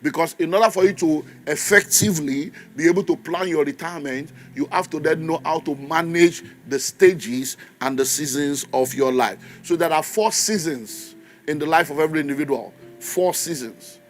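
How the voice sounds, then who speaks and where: A man's voice: a little high, moderate pace, faint music behind. A man in his fifties with a Nigerian accent, preaching a sermon in a church, stressing and repeating key phrases and leading the congregation in call and response. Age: 50s.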